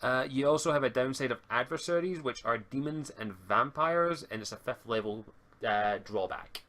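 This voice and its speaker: A man's voice: medium pitch, normal speed, fairly bright tone. A man acting as game master, explaining tabletop game rules over an online call, often stammering and restarting his words.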